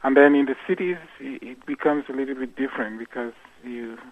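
Cameroonian-born guy on a tinny phone line, halting, thinks out loud with lots of uh's. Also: mid-range voice, steady tone. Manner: thoughtful, halting, with many fillers and restarts. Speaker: guest musician. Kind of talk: radio interview.

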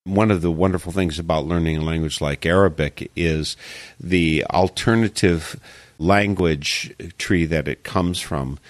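Male radio host, low-pitched and earnest, dry studio sound. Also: no room sound. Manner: earnest, measured appeals to listeners. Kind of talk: radio interview.

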